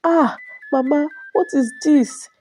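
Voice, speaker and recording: A woman's voice: high voice, slow, darkish tone, soft background music, voice pushed up. A woman storyteller voicing a desperate, tearful mother who pleads emotionally for her son. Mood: crying out in pain.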